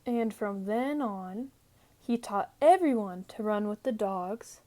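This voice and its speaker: A woman narrating a children's story from a script in a measured, deliberate way. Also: medium pitch, expressive, mellow. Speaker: storybook reader.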